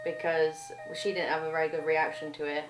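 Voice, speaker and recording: Young woman in her early 20s, lowish voice, echoey room, chatting candidly n kinda hesitant. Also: little pitch movement, a little fast, recorded from a distance. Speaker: young mother being interviewed. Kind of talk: candid documentary interview. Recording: echoey room with faint background noise.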